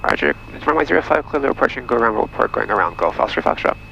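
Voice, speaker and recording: A man's voice: mid-range voice, expressive, very fast, gravelly, sharp tone. A man piloting a light aircraft, reading back instructions over the aviation radio from the cockpit. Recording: muffled cockpit radio audio, faint engine noise.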